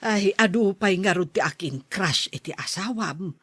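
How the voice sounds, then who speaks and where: A woman's voice: medium pitch, animated, measured pace, a bit bright, slightly rough, no room sound, studio quality. A woman acting a doting older mother, gossipy and watchful, in an Ilocano-accented radio drama. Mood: gossipy, teasing.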